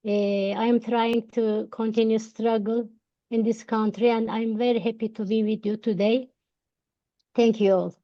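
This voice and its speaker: Halting Turkish-born woman, lo-fi call. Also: fairly high pitch, little pitch movement, mellow. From Turkey. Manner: halting, many pauses and fillers. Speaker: panelist, women's rights advocate. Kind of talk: online panel introduction. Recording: dull lo-fi call audio.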